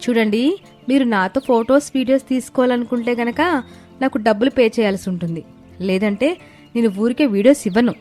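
A woman voicing a kind, outspoken young female office worker in an animated moral story, speaking earnestly. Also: on the high side, a little fast, natural voice. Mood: assertive. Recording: background music under the voice.

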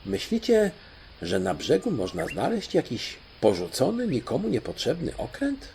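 A man's voice: on the high side, wildly swooping pitch, moderate pace, creaky, darkish tone, a little hiss or hum, voice pushed up, exaggerated voice. A man voicing a kindly, pensive storybook doctor who muses hesitantly and frets. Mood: pensive worry. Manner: musing, hesitant. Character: kindly, pensive doctor fretting over travel plans.

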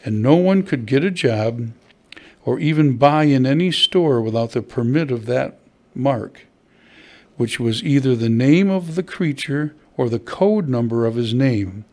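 A man's voice: medium pitch, expressive, unhurried, mellow. Older man, pastor, sermon, church hall pulpit, stand mic, rhetorical questions. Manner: conversational preaching with rhetorical questions.

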